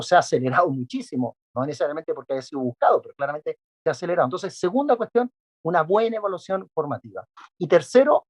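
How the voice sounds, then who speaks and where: A man's voice: on the high side, muffled recording. A man, an education specialist, answering interview questions methodically, listing his points with frequent fillers. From not Peruvian.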